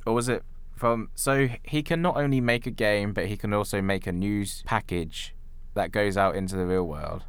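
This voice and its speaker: A man's voice: fairly low pitch, normal speed, smooth, no echo. A man co-hosting a podcast, talking casually about films. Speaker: podcast co-host. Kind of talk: casual film chat.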